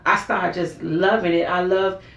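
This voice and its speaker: Gravelly-voiced woman in her forties, roomy sound. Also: lowish voice, unhurried. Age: 40s. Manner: earnest, storytelling, animated when quoting herself. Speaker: talk show guest. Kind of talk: candid personal interview. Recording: roomy, distant mic, dull sound.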